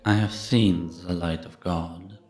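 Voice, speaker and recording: A man playing a gentle, patient priest in a cinematic audio roleplay, probing with measured, contemplative questions. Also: deep voice, fairly even intonation, faint music behind. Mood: serene, earnest. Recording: echoey, reverberant room.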